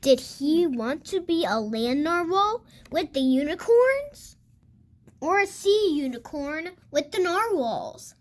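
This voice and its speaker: A child reading a picture book aloud for other kids, with expressive, emphatic storytelling. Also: high voice, slow. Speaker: host of a read-aloud channel.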